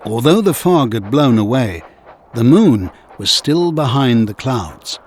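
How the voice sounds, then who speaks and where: A man narrating a scripted children's story in an expressive, measured storytelling manner. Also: mid-range voice, a little hiss or hum.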